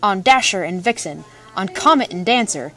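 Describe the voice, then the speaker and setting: A woman's voice: medium pitch, animated, moderate pace, fairly bright tone. A woman voicing a tough, jolly old man, a commanding storybook action hero, over music. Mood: grim. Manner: barking, commanding.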